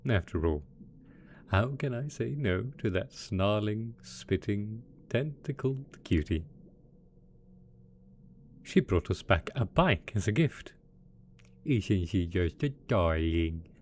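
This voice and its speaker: A man's voice: on the low side, lively intonation, slow pace, long pauses, darkish tone, a little hiss or hum. A man playing a gleeful, smug and possessively doting mad scientist supervillain boss in a theatrical, playful roleplay audio drama. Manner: theatrical, playful, mock-innocent, chuckling.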